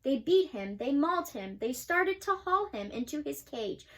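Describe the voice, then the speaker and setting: A woman's voice: sits high, sing-song, moderate pace, a bit bright. A young woman reading a children's picture book aloud, giving the characters their own voices. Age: young adult. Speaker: student reader.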